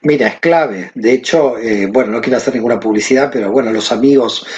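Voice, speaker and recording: A man's voice: medium pitch, brisk, a little mellow, lo-fi sound. A man from Argentina, a guest who teaches, explaining ideas in conversation and asking rhetorical questions. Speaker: guest, Kabbalah teacher and author.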